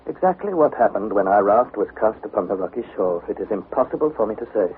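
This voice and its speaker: A man's voice: fairly low pitch, expressive, fast talker, narrow, tinny audio, close to an everyday voice. Noisy old radio drama, guy playing young adventurer, theatrical and anxious, voice goes all over the place. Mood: weary, reflective. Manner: theatrical, intense, breathless delivery. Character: young male explorer, dramatic, anxious diarist. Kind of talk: vintage radio drama narration and acting. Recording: noisy, phone-thin vintage radio recording.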